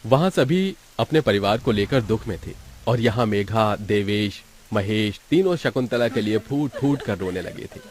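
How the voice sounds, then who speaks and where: A man's voice: on the low side, expressive, moderate pace, darkish tone. A man reading scripted narration as the storyteller of a moral tale.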